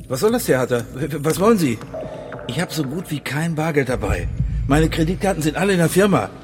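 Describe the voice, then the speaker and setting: Arrogant middle-aged banker, animated, higher-pitched. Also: male voice, a little fast, soft background music. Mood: irritated. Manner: brusque, stammering when pressed. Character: arrogant, blustering middle-aged male banker, impatient and dismissive. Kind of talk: radio crime drama acting.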